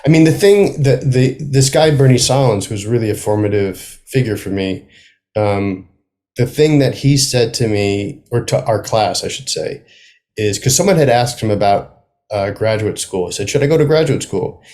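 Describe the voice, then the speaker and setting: Thoughtful middle-aged man, lowish voice. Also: darkish tone. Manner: thoughtful, halting, circling back to rephrase. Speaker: guest playwright. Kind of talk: reflective interview conversation.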